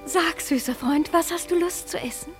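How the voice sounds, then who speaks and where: A woman's voice: very high voice, moderate pace. An actress playing a doting, seductive fairy queen in a classic radio play, speaking verse in a lyrical, caressing, theatrical manner. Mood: eager, doting. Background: music.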